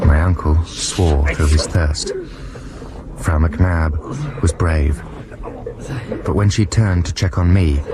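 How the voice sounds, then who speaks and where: An actor playing an earnest man recalling a perilous expedition, in solemn, reflective radio drama narration. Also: rumbling low. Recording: very noisy 1990s radio broadcast.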